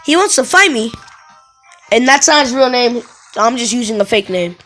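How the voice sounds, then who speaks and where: A woman telling casual stories to viewers in an animated, rambling way, often wandering off and correcting herself. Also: on the high side, moderate pace, soft background music. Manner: animated, digressive, self-correcting. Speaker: video creator telling stories.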